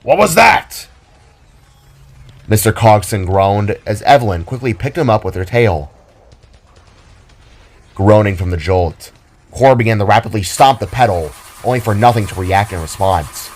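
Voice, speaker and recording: A man's voice: on the low side, normal speed, a little mellow. A man giving dramatic scripted narration as the storyteller of an audio drama. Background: background music.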